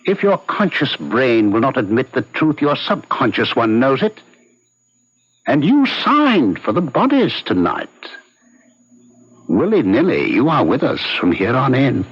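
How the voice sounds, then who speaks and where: An actor playing a cynical, domineering young medical student with a worldly manner in an old-time radio drama. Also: male voice, medium pitch, wildly swooping pitch, a little slow, breathy edge, heavily acted. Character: charismatic, cynical young male medical student, commanding schemer. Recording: muffled old radio recording.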